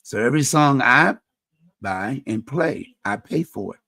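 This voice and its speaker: Gravelly deep-voiced man, earnest talk. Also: animated, measured pace, pushed and bright, no room sound, studio quality. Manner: earnest, churchy, repeats and restarts phrases. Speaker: community radio host. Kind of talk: reflective personal talk.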